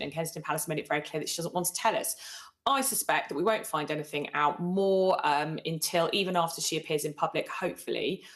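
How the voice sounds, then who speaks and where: A British woman in middle age with a low-pitched voice. She speaks as a commentator on a live broadcast, laying out her points in a measured, explanatory way. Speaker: royal commentator on a news programme.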